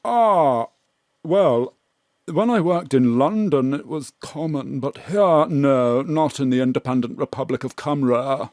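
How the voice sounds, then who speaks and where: An actor playing a pompous, condescending cat therapist with a patronising manner in a comic audio drama. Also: male voice, mid-range voice, a little slow, darkish tone, dry recording, studio quality, lightly acted. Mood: smug.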